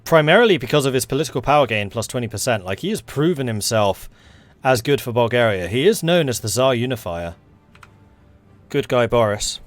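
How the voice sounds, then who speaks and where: A man's voice: medium pitch, lively intonation, a little fast, no echo. Wry, chatty Scottish man gaming. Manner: chatty, wry, joking asides. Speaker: strategy game streamer. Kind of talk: casual let's-play commentary.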